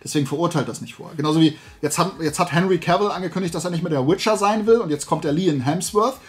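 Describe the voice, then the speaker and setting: A middle-aged man hosting a casual, opinionated talk show, speaking colloquially in an animated ranting manner. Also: fairly high pitch, fast. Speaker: host. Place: small room at home, indoors, at a desk.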